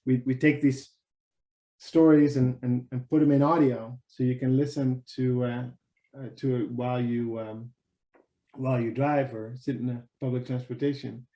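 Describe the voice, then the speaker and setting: A man's voice: medium pitch, flat delivery, normal speed, mellow, dull audio. A man in his thirties with a Dutch accent, presenting casually on a video call, talkative and often repeating words. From Netherlands. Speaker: webinar presenter. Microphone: video call webcam. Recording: echoey room on a video call.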